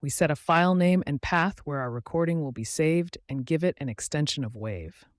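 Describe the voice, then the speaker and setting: A man with a slightly high voice delivers scripted tutorial narration in a measured, instructional manner. The sound is dry and close, as a voice-over recorded right on the mic. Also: no room sound. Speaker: tutorial host.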